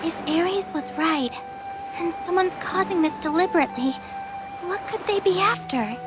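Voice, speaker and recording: A woman voicing a small, cheeky, excitable flying lizard companion in a video game. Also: extremely high pitch, moderate pace. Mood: puzzled. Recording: loud music under the voice.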